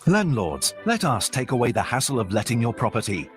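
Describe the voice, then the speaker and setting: A man reading a radio advert with a lively sales delivery. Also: mid-range voice, fairly quick, soft background music. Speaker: advert voice-over.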